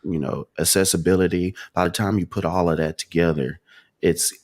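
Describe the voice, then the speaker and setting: Male podcast panelist with a notably deep voice, chatting casually about games in a group. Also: fairly even intonation, brisk, a little mellow, no echo, clean studio sound.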